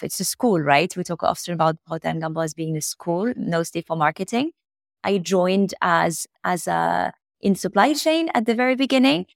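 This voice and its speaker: Moroccan woman, low voice, thoughtful pace. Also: creaky, no echo, clean studio sound. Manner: thoughtful, with frequent fillers and restarts. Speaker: guest, beauty company founder. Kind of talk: podcast interview answers.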